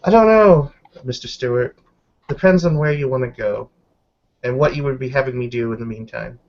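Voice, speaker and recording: A man's voice: mid-range voice, moderate pace. Man narrating a tabletop game, dull recording. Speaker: game master. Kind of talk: improvised tabletop roleplay narration.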